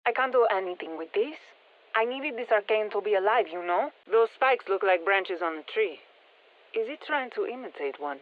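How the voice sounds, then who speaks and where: An actress playing a brisk, sharp-minded scientist in a video game, matter-of-fact and technical, with a Spanish accent and a processed voice. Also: female voice, medium pitch, animated, narrow, tinny audio. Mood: curious. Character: brisk, sharp-minded female scientist studying an alien parasite. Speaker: scientist and engineer briefing operators. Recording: thin band-limited radio, some long hall reverb.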